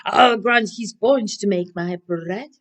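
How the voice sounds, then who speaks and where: A furious, hungry giant from a children's storybook, put on by a woman storyteller. The delivery is loud, chanting and declamatory, full of menace. It moves at a heavy, slow pace. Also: mid-range voice. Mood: menacing, hungry. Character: furious man-eating giant, big and menacing.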